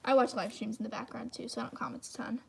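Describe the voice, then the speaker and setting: A woman's voice: a little high, flat delivery, quick pace, gravelly, husky. A young woman chatting casually on a live stream as she draws at a table, rambling with lots of "like" fillers. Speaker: live stream host drawing on camera. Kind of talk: casual chat while drawing. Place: indoors at a table.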